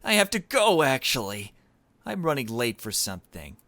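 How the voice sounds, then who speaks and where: Man voicing a brisk, self-interested young female reporter. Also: mid-range voice, sing-song, a bit bright, no room sound, voice pushed up. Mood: brisk, curious.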